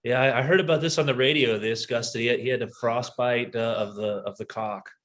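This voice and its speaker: Podcast co-host guy, mid-pitched, dry teasing jabs at his buddies. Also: fairly even intonation, fast talker, fairly bright tone. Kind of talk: casual comedic banter.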